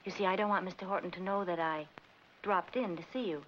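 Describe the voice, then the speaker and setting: An actress playing a shrewd, confident young woman in a 1940s comedy film, brisk and coy with slangy lines. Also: on the low side, fairly even intonation, close to an everyday voice. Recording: muffled, noisy old film soundtrack.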